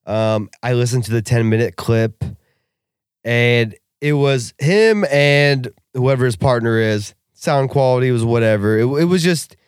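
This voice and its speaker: Young man, podcast co-host, loose profane rant, mid-pitched voice, dry close recording. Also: unhurried, clean tone, no echo. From Russian family background. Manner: profane, animated ranting. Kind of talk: casual podcast chat.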